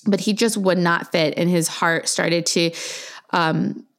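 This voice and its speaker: A woman's voice: lowish voice, fairly even intonation, slow pace. A woman in her thirties, born in Argentina and raised in the U.S., candidly recounting her son's birth in an earnest, reflective monologue. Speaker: mother telling her birth story. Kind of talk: personal storytelling monologue.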